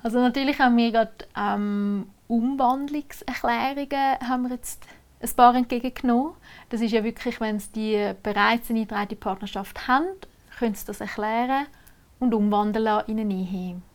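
A woman's voice: on the high side, fairly even intonation, normal speed. A woman with a Swiss German accent, a civil registrar explaining marriage procedures in a casual podcast talk. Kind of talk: casual interview answers. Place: Switzerland.

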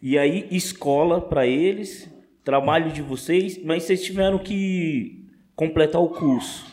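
Co-host man, slightly high voice, relaxed questioner. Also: a little slow.

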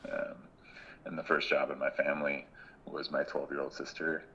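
A man in his thirties, a guest in a casual interview, speaking in a thoughtful, reflective way and pausing often mid-sentence. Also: a bass voice, little pitch movement, bright, forceful tone, muffled recording, slight background noise. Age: 30s. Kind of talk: casual conversation.

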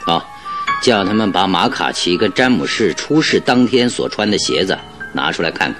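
Analytical detective, radio play, good-humoured, authoritative, fairly low pitch, very expressive melody. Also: male voice, normal speed, soft background music. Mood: inquiring, brisk. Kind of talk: Mandarin radio drama acting.

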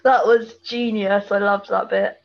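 A woman's voice: mid-range voice, fairly even intonation, a little slow, vocal fry, dull audio. A British woman chatting casually and colloquially as a podcast co-host, full of opinions while recapping television. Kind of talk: casual chat, TV episode recap.

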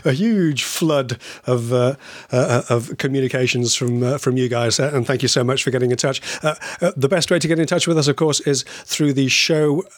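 A man's voice: mid-range voice, steady tone, normal speed, few pauses, dry recording, clean studio sound. A man co-hosting a podcast in friendly casual chat, hesitant with lots of uh sounds and restarts, on a video call. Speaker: podcast co-host.

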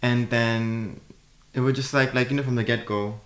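A man's voice: mid-range voice, fairly even intonation, moderate pace, reverberant. A man in his thirties who grew up in Germany, a chatty podcast guest who says "like" a lot. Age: 30s. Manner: chatty, uses "like" often. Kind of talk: casual interview.

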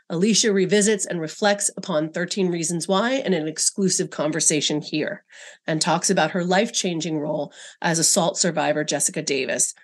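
Polished woman podcast host, low voice. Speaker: podcast host and magazine editor. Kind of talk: scripted podcast intro then interview.

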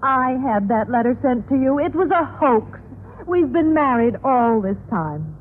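An actress playing a genteel, coaxing middle-aged wife in a 1950s radio sitcom. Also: female voice, high-pitched, lively intonation, measured pace. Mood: confessing, matter-of-fact. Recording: tinny, noisy old radio recording.

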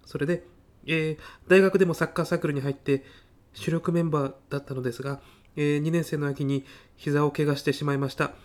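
A man's voice: a little high, steady tone, fairly quick, lightly acted. A man playing an earnest, hapless university student at a job interview in a comedy sketch, with stiff, hesitant, rehearsed politeness. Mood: earnest, nervous. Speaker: job applicant. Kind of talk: audio comedy sketch acting.

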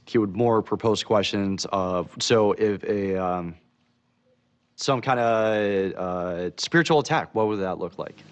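A man speaking haltingly in an interview clip played from a documentary. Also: fairly low pitch, clear, resonant tone. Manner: halting, searching for words.

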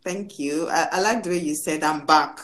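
Low-voiced woman hosting a podcast. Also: moderate pace. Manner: chatty, expressive, laughs easily. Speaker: podcast host. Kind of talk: casual podcast chat.

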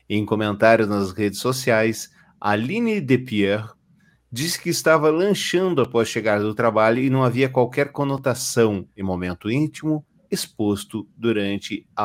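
A Brazilian man co-hosting a podcast, reading news stories aloud and adding dry, sarcastic comments. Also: fairly low pitch.

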